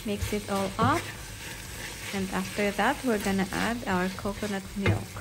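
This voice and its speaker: A woman narrating a home cooking video step by step in a voice-over, calm and unhurried. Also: medium pitch. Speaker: home cook vlogger. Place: home kitchen, food sizzling in pan. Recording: audible kitchen noise behind.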